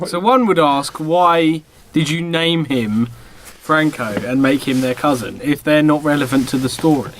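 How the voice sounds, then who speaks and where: A man's voice: a little high, unhurried, hardly any pauses, a little hiss or hum. A man co-hosting a podcast, joking casually and challenging his friends with teasing questions. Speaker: podcast co-host.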